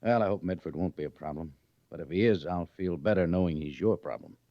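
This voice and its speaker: An actor playing a thoughtful, shrewd senior army major in an old radio western, deliberate and gently wry. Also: male voice, low voice, no echo, lightly acted. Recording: muffled vintage radio recording.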